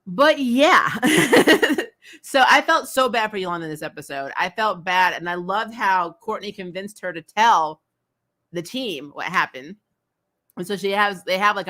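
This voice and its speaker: Bright, forceful woman giving blunt opinions. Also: on the low side. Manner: emphatic, blunt and opinionated, with casual swearing. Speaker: host of a TV show recap channel. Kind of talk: casual livestream recap and opinion.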